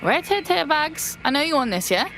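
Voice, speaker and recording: A woman's voice: sits high, sing-song, normal speed, a bit bright, heavily acted. A woman playing a streetwise young hacker with a London accent in a video game, slangy and punchy. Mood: indignant, streetwise. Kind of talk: game cutscene voice acting.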